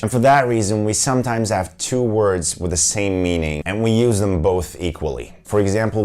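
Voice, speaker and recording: Brief: very low male voice, native Turkish speaker from Germany, relaxed lesson host, carefully paced examples. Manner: friendly, explanatory, spells out word examples slowly. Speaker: language teacher. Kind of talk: casual video lesson.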